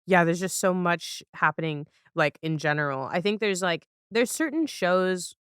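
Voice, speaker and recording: A woman's voice: on the low side, animated, normal speed, fairly bright tone, clean tone, dry recording, studio quality. A woman hosting a podcast, talking casually in a conversational interview style. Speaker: podcast host.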